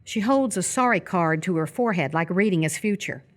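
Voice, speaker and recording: A woman's voice: lowish voice, animated, brisk. A woman reading scripted stage directions as the narrator of a live radio theatre piece.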